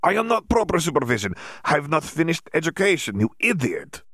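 A man voicing a weary, blunt young wizard who speaks in halting, non-native grammar with a sighing manner. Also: lowish voice, expressive, no room sound, studio quality.

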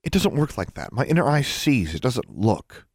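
A man's voice: medium pitch, big pitch swings, moderate pace, creaky, a little mellow, breathy edge, no echo, clean studio sound, voice pushed up, exaggerated voice. A self-important teenage seer girl from an audiobook fantasy, played by a man, speaks in a raised, swooping voice. She is airy and pompous, easily affronted and very sure of her gifts. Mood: haughty.

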